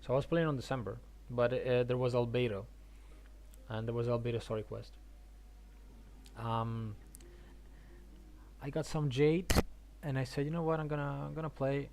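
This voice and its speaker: Halting male game streamer, faint background noise. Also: mid-range voice, fairly even intonation, darkish tone. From Argentina. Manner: halting, repeats words, thinks aloud. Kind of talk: casual rambling stream chat about games.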